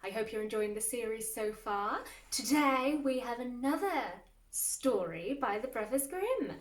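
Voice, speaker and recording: A woman with a high voice narrates in a measured storybook style. She sounds set back from the microphone in an echoing room. Her delivery is deliberate, that of a host reading a scripted tale. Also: lively intonation. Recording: roomy, distant mic with music underneath. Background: classical music.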